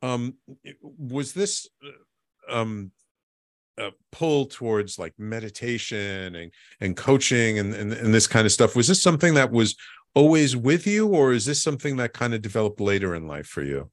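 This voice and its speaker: A man's voice: mid-range voice, sing-song, moderate pace, no room sound, studio quality. A man hosting a radio talk show, chatting with a guest in an eager, stammering way, often repeating his words. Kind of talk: casual interview chat.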